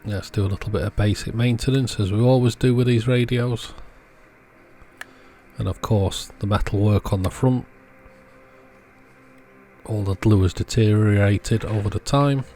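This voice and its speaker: A man's voice: lowish voice, fairly even intonation, normal speed, pauses often, warm tone, slight background noise. A man giving an informal how-to voice-over in short, matter-of-fact sentences, as a repair channel host.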